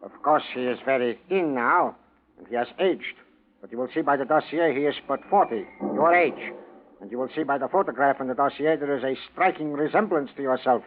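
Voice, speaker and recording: An actor playing a scheming, deferential Nazi camp doctor, persuasive and urgent, in a 1940s radio drama. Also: male voice, mid-range voice, brisk, close to an everyday voice. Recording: tinny, noisy 1940s radio recording.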